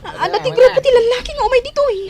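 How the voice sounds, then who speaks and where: An actress playing an anxious, loyal young village girl with a fretful, breathy delivery in an Ilocano radio drama. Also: extremely high pitch, quick pace, heavy background noise. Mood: frightened, tearful.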